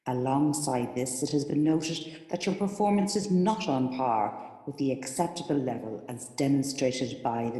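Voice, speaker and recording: Actor as a mellow, echoing corporate authority. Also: male voice, mid-range voice, natural voice. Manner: measured, officious. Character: cold, impersonal corporate authority issuing a warning. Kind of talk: formal scripted announcement in radio play. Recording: echoey hall with long reverb.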